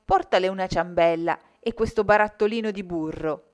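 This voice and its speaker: A woman voicing a caring storybook mother, gently instructing her child. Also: on the low side, normal speed, lightly acted.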